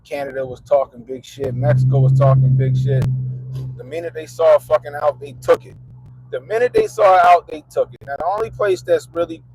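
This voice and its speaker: A man's voice: a little high, fairly even intonation, brisk, a little mellow, a little hiss or hum, rough recording. A congested man hosting his vlog, talking in a loose, profane, laughing rant from inside his car. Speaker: vlogger host. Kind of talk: casual profane commentary.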